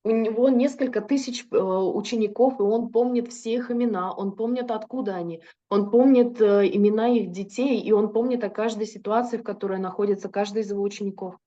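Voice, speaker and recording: Interpreter: woman, mellow dark tone, fluent with fillers, dull lo-fi recording. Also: medium pitch, steady tone, a little fast, hardly any pauses. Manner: fluent with frequent filler hesitations. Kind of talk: consecutive interpreting of a lecture.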